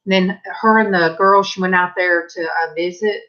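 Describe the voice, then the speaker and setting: A middle-aged woman with a Southern US accent, chatting to her viewers at home in a loose, rambling way. Her voice sits on the low side. The recording sounds dull and lo-fi. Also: steady tone, normal speed, pushed and bright. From Southern California. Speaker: vlogger. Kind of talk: casual chat while painting. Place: home, indoors.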